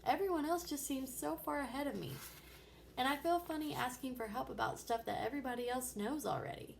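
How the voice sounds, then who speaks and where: A woman's voice: high voice, fairly quick, creaky, a little hiss or hum. A woman voicing an unsure, earnest young schoolboy in a children's storybook read-aloud. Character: Arthur, unsure young schoolboy on a baseball team.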